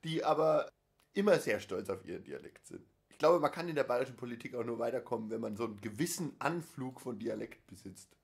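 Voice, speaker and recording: A man's voice: medium pitch, expressive, a little fast, creaky. A man co-hosting a casual chat podcast, rambling with dry jokes, many fillers and restarts. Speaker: podcast co-host.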